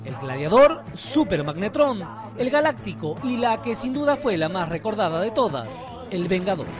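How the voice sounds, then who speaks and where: High-pitched, animated male TV narrator. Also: moderate pace. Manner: dramatic, storytelling delivery. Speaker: reporter. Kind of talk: scripted TV feature narration. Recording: studio voice-over with loud music bed. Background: loud music.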